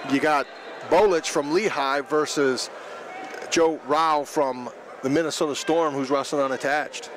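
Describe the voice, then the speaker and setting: A man with a slightly high voice comments on wrestling over clear background noise in an arena. He is the color commentator, conversational and informal, speaking in clipped observations for live radio. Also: unhurried. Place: large indoor sports arena. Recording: clear gym noise behind voice. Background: noise of a wrestling tournament.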